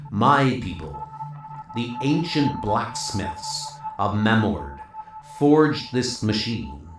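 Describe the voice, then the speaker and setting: A man's voice: mid-range voice, reverberant. A man voicing a solemn, ancient giant guardian in a comic-book audio drama, speaking in grave, archaic pronouncements. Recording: music behind the voice. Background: loud dramatic music.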